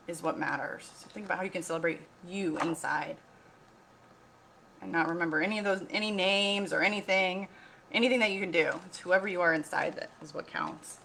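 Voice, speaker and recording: A woman reading a picture book aloud like a teacher, pausing to explain, ask questions and repeat key points. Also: lowish voice, vocal fry, a bit bright, a little hiss or hum, low-quality recording. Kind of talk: read-aloud storytelling with explanatory asides.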